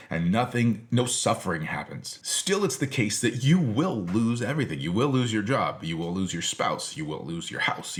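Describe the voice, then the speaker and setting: Man, American accent, lowish pitch, conversational, emphatic, informal lecture delivery. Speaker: philosophy teacher, presenter. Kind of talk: informal philosophy lecture to camera.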